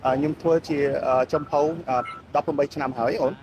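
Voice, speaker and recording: Nervous chef, man, halting and fast with fillers, clip with clear traffic noise. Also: fairly high pitch, level tone. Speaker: chef interviewed on local news. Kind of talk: nervous news interview.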